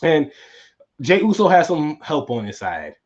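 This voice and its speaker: Man, podcast host, fairly high voice, tired and hoarse, rambling casual talk, dull lo-fi sound. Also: big pitch swings, measured pace. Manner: casual, rambling, repeats himself. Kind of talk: solo wrestling recap podcast.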